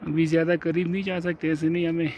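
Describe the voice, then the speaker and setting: A man with a fairly high voice narrates his hike as he walks a rocky mountain trail, pointing things out in a casual, chatty way. The handheld phone mic picks up steady outdoor wind noise. He sounds like someone filming himself on the move. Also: fast talker. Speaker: vlogger. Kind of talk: casual hiking narration to camera.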